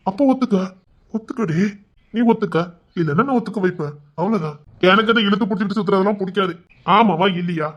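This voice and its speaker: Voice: mid-range voice, quick pace, muffled recording, lightly acted. A performer playing a stubborn, threatening young person in an amateur melodrama, insistent and badgering.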